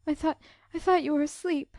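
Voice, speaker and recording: A woman acts out a gentle teenage girl from a storybook, hiding her sorrow. Her voice is high, soft and quavering, and she speaks slowly and tearfully in a very dry, close recording. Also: steady tone, no room sound. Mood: tearful, despairing.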